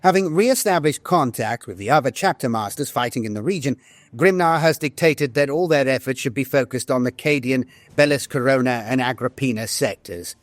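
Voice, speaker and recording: A man playing a grave veteran military officer, reading a war journal in a formal, measured, dramatic style. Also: medium pitch, no echo, close to an everyday voice. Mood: grave, hopeful. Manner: solemn, formal, measured delivery. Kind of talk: scripted dramatic audio-drama narration.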